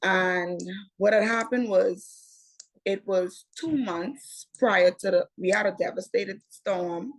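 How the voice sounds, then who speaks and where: Bahamian woman, 20s, interview guest; slow, measured storyteller who circles back to her point, mid-pitched voice. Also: poor audio. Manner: unhurried, repeats "what had happened was".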